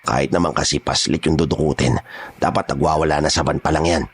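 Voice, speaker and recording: A man playing a gruff, blunt kidnapper boss in a horror audio drama. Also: extremely low pitch, quick pace, slight background noise. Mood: irritated. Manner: rough, street-tough, blunt. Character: gruff, menacing male kidnapper gang boss.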